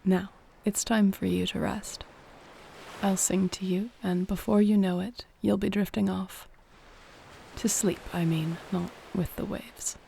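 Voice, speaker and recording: Serene female mermaid played by a woman for sleep audio: mellow, soft-spoken, gently formal, unhurried soothing delivery. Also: mid-range voice, gravelly. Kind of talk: sleep-aid audio roleplay, soothing bedtime monologue. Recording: faint ambient ocean noise behind.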